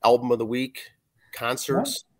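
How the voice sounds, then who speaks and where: Hoarse male co-host, forties, casual note-reading, webcam call. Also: medium pitch, big pitch swings, measured pace. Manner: reads facts and track lists with fillers. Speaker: podcast co-host reviewing an album. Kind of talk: casual podcast chat, reading notes. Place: small room at home. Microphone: video call webcam.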